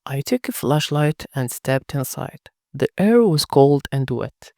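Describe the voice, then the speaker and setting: A narrator telling a first-person horror story in a hushed, dramatic scripted reading. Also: medium pitch, lively intonation, measured pace, no room sound, studio quality.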